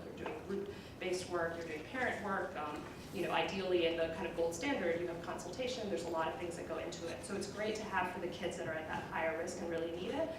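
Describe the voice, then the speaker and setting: Fast-talking woman lecturing in an echoey room. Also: fairly low pitch. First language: bilingual, Puerto Rican background. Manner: explanatory, frequent ums and "you know". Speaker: researcher giving a lecture. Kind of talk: academic lecture with slides, semi-conversational. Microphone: distant room microphone. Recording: roomy echo, distant mic, clear background noise.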